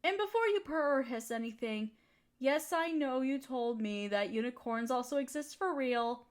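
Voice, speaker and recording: High-voiced doting girlfriend, acted by a woman. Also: lively intonation, moderate pace. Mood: fond. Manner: cooing, soothing pet-talk. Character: affectionate, teasing, nurturing girlfriend of a fox spirit. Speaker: audio roleplay voice performer. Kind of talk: intimate comfort roleplay monologue.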